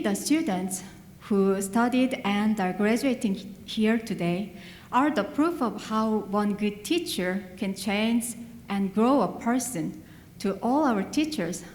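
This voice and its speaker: A woman's voice: mid-range voice, darkish tone. A woman, an adult English learner whose first language is not English, giving an earnest graduation speech with small grammar slips. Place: large hall. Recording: echoey hall with long reverb.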